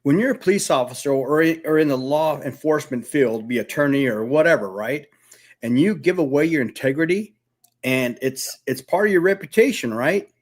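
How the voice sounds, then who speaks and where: A man's voice: a little high, lively intonation, normal speed. Joking man, Spanish his first language. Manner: joking, laid-back, says "dude" often. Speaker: guest, former police officer. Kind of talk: casual live-stream chat.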